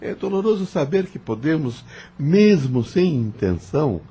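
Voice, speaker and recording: Spirit mentor, male actor: solemn, formal, patient teacher, heavily rasped husky voice, expressive intonation, audio drama. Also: on the high side, measured pace, exaggerated voice. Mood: solemn, instructive. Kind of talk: audio novel acting.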